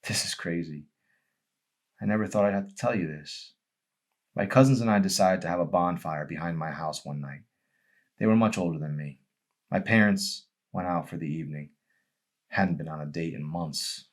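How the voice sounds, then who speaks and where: A man's voice: low voice, moderate pace, long pauses, natural voice. A man voicing a hurt, emotional young girl in an audiobook, with an emphatic, pleading manner. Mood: sad recollection.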